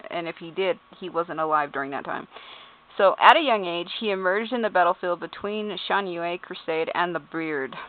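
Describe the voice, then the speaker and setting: A woman sits at home reading out clumsy character bios, spelling names letter by letter and laughing at the wording. Her voice sits a little low. The sound is narrow and tinny, like a phone line. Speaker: YouTuber. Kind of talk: casual commentary reading game character bios.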